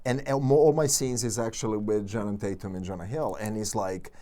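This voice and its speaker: A man's voice: lowish voice, fairly quick. A man with a Serbian accent, a guest actor chatting casually in an interview, telling stories with lots of fillers and restarts. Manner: animated storytelling, many fillers and restarts.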